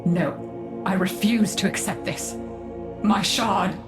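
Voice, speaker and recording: A woman playing a proud, formal matriarch in a video game drama, speaking in a measured, stately way. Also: medium pitch, fairly even intonation, over music, close to an everyday voice. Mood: grieving, resolute. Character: proud, formal, grieving matriarch of an estranged family.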